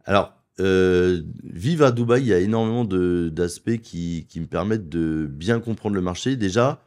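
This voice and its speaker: A middle-aged man from France, a wealth advisor in a studio interview, making his case persuasively and listing points in quick runs. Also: sits low, a little mellow. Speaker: wealth advisor and property investment guest. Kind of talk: conversational interview.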